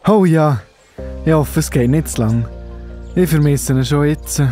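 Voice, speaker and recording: A man's voice: fairly high pitch, a little slow, warm tone, faint music behind, natural voice. A man with a Swiss accent playing a pleading, attached disciple in a children's Bible audio play. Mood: wistful.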